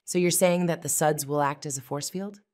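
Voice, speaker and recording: A woman's voice: deep voice, a little fast, darkish tone, dry recording, studio quality, close to an everyday voice. A woman voicing a confident, caring young woman who leads a cartoon hero team in a comic dub. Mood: earnest, encouraging. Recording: music playing under the voice.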